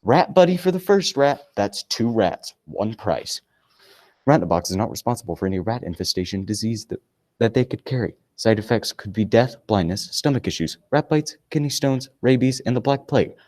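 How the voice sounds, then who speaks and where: A man playing a brash pitchman in a parody radio commercial, salesy and emphatic. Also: lively intonation, brisk, a little mellow, close to an everyday voice. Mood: brisk disclaimer. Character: brash male pitchman selling an absurd product.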